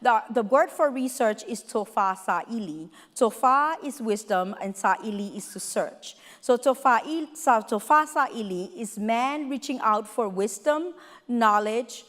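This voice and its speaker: A woman with a Samoan accent, whose first language is Samoan, giving a warm and humorous welcome speech as a faculty member at a conference. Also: on the high side, lively intonation. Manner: warm, heartfelt and humorous, with lists spoken slowly and deliberately. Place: large conference hall. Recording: hall with long reverb.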